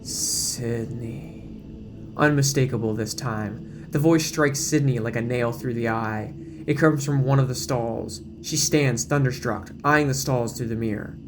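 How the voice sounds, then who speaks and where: A man's voice: medium pitch, moderate pace, noisy background. A man giving dramatic scripted narration as the narrator of an audio drama, with music behind him.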